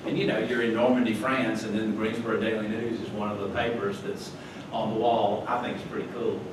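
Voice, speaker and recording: A man's voice: fairly low pitch, flat delivery, brisk. Folksy older man, echoey hall. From North Carolina. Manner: chatty, folksy, chuckling asides. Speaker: club guest speaker. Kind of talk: casual slide talk. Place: meeting hall. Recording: echoey room from far off, noisy.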